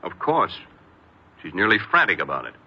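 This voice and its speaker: A man's voice: low voice, huge pitch swings, normal speed, husky, full character voice. An actor playing a stern, dismissive middle-aged father, brusque and impatient, in an old radio crime drama. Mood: exasperated. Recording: muffled, noisy old radio recording.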